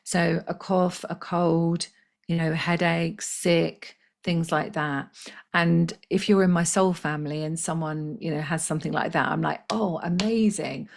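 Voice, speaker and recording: A woman with a slightly croaky voice, hosting a live spiritual healing session in a gentle, chatty way and reading out viewers' comments. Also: low-pitched, steady tone, measured pace. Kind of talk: casual live-stream talk.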